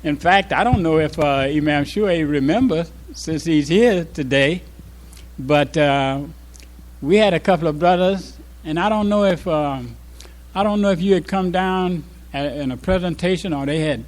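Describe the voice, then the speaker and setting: An older man, a former imam, speaks informally to a congregation, reminiscing in a halting, reflective way with pauses mid-sentence. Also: on the high side, rough recording. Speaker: former resident imam giving an address.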